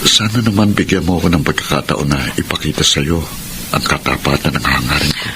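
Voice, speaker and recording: A man's voice: extremely low pitch, expressive, a little fast, background noise. An actor playing a sincere, devoted man in love in a romantic Filipino radio drama. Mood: tender, pleading. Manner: tender, earnest. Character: devoted, sincere male suitor, later loving husband.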